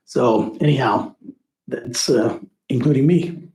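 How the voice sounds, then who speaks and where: A hoarse man with a cold, a school board trustee giving a rambling, folksy report at a board meeting. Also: mid-range voice, slow, warm tone, low-quality recording. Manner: rambling, folksy, many uh fillers.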